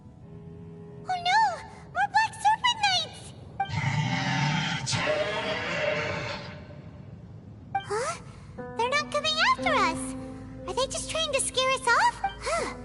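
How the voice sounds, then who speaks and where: A little childlike girl companion from a video game. The voice is piercingly high and squeaky, with lively, bouncing pitch. It is curious and exclamatory, over prominent music. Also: slow, pauses often, exaggerated voice. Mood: alarmed, excited. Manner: exclamatory, refers to herself in third person.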